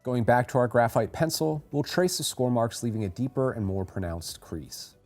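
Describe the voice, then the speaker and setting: Mellow-voiced man narrating a tutorial. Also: medium pitch, expressive, normal speed, faint music behind. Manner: clear step-by-step instruction. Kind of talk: scripted how-to voice-over.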